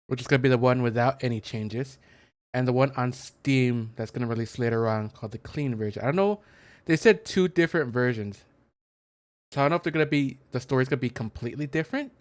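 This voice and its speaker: A man's voice: medium pitch, no room sound. A man doing casual let's play commentary, explaining things in a hesitant, rambling way into a close mic. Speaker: gaming content creator.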